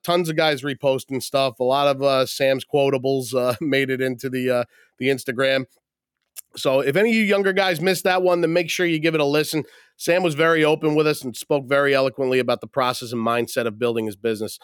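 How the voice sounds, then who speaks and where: Laid-back male podcast host, a bit rough. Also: medium pitch, fairly even intonation, fairly quick, no room sound, clean studio sound. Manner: laid-back, joking, swears casually. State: sick, voice still rough. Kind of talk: casual podcast chat and show intro. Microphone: remote call, mutes own mic.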